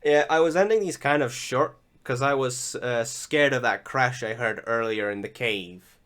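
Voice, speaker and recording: A man giving casual, rambling voice-over commentary on recorded gameplay, often halting and restarting his sentences. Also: medium pitch, fairly bright tone, clean tone. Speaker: gaming video host. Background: music behind the voice.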